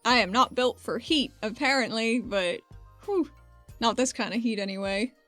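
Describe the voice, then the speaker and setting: Fearless, easygoing desert wanderer on watch, woman player, slightly high voice, tabletop roleplay. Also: normal speed, a bit bright, dry recording, soft background music, lightly acted. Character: breezy fearless female desert traveler keeping night watch.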